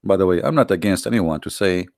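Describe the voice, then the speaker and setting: Low-voiced man. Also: expressive, fast, vocal fry, no echo, clean studio sound. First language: Arabic. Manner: scornful, mocking, emphatic. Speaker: online debate host. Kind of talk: combative commentary to viewers.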